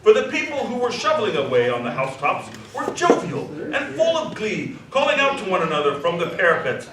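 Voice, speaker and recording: A man narrating a scripted story dramatically, savoring the descriptive words with expressive delivery. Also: sits high, fairly quick. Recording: echoey hall, distant mic, faint noise.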